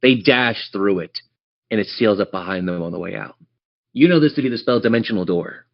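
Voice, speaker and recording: A man's voice: lowish voice, fairly quick, creaky. A man working as game master, improvising narration for a tabletop game over slightly muffled audio.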